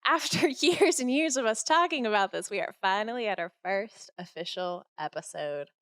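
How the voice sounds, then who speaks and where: A woman's voice: medium pitch, lively intonation, normal speed, fairly bright tone, clear, resonant tone. A woman co-hosting a casual podcast chat on a podcast mic, chatty and teasing, laughing often. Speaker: podcast co-host. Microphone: podcast microphone.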